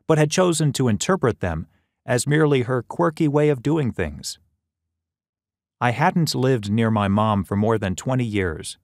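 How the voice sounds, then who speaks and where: A man reading scripted audiobook narration in a measured, expressive storytelling manner, close on the microphone. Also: medium pitch, no echo. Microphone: close studio microphone.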